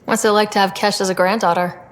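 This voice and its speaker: A woman's voice: mid-range voice, level tone, fast talker, long reverb tail, natural voice. An actress playing a young, curious, easygoing space explorer in a video game, casual and direct in her questions. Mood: friendly, curious. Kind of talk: video game character dialogue.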